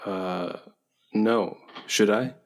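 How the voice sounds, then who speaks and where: An actor playing a dazed, brainwashed big male prisoner in a radio play, giving halting, vacant replies. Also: fairly low pitch, steady tone. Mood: dazed, uneasy.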